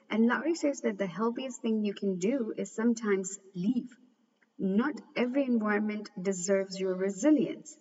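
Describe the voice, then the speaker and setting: A woman hosting a book review, talking through its ideas in an earnest, measured way and stumbling now and then. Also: mid-range voice. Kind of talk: reflective book commentary. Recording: dull, echoey recording from a distance.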